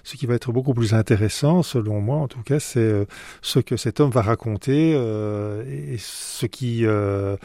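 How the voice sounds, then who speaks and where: A man's voice: mid-range voice, measured pace, creaky, dark tone, no room sound, studio quality. A man from Belgium, an author answering radio interview questions, hesitant with many fillers and restarts.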